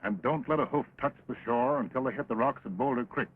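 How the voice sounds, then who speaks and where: An actor playing a sly, bossy American cattle-rustler leader in an early talkie western, rough-edged and commanding. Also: male voice, mid-range voice, fast talker, lightly acted. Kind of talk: early 1929 talkie western film acting. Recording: very muffled 1920s film soundtrack.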